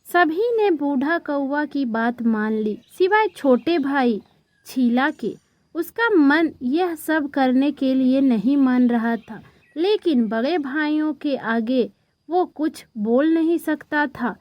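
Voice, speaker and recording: A woman's voice: high-pitched, expressive, takes it slowly, no room sound, muffled recording. A woman narrating a scripted children's story as the storyteller.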